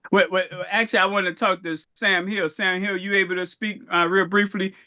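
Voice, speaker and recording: High bright-voiced man hosting a call. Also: steady tone, fairly quick, dry recording, dull audio. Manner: laughs often, easygoing. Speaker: host.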